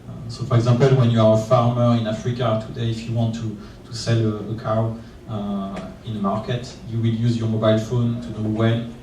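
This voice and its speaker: A man's voice: fairly low pitch, fairly quick, distant mic, slight background noise. Hesitant male panelist in an echoey room. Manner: hesitant, repeats words, monotone. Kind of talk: panel discussion. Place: conference room.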